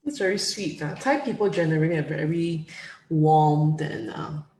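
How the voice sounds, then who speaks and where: A woman's voice: low-pitched, normal speed, warm tone. Woman host in an echoey room. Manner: encouraging, asks leading questions. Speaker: interview host. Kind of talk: casual interview.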